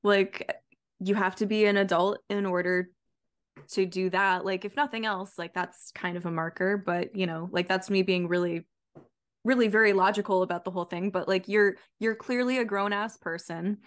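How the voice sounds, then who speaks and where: A woman's voice: mid-range voice, steady tone, normal speed. A woman in her thirties hosting a podcast, chatting casually and hesitantly as she searches for words. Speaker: podcast host. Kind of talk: casual chat. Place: room at home, video call.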